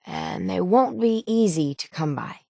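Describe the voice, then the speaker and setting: A gruff female harbor shipwright from a video game, speaks in a slightly low voice. She is stubborn and hard-bitten, with a folksy, salty way of putting things. The sound is dry, with no room around it. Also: lively intonation, a little slow, dark tone, dry recording, exaggerated voice. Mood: matter-of-fact. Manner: salty, plainspoken, folksy nautical talk. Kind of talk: video game character dialogue.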